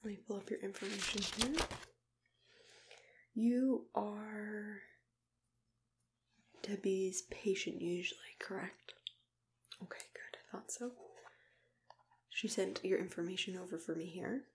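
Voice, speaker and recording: A young woman speaks softly and slips into whispers, close to the microphone. She plays a caring dentist in an ASMR roleplay, with an unhurried, gentle delivery and a mid-range pitch that reassures the listener. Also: steady tone. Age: young adult.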